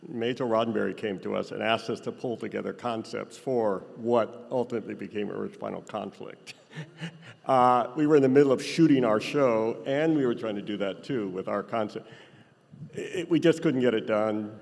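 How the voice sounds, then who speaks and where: A man's voice: fairly low pitch, vocal fry. A man speaking informally from the audience at a live panel. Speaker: audience member, graphic designer. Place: large hall, audience microphone at a convention panel. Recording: echoey hall with long reverb.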